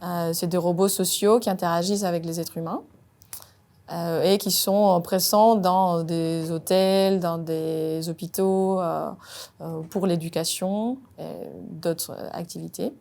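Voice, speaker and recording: A woman, speaking French as a robotics engineer and pausing often on "euh". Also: lowish voice, steady tone, darkish tone. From Colombia. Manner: measured, frequent "euh" hesitations. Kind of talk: job interview-style monologue.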